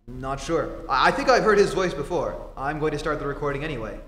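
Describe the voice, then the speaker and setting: A man playing a calm, inquisitive investigator and mentor in an old-time radio play on a theatre stage. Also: fairly high pitch, fairly quick. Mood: puzzled. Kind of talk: 1940s radio acting. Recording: echoey theatre hall.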